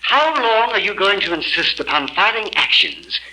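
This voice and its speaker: An actor playing a stern, commanding judge who speaks in clipped tones in an old-time radio drama. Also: male voice, high voice, big pitch swings, moderate pace, pushed and bright, exaggerated voice, poor audio. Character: stern male judge, impatient authority. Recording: faintly hissy old radio recording.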